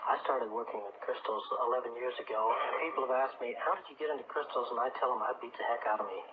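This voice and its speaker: A middle-aged man being interviewed on a radio show, telling his story in a halting, reflective, conversational way. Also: mid-range voice. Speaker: radio guest, crystal healing teacher. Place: radio talk show broadcast. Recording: muffled, noisy 1980s radio broadcast, phone-like.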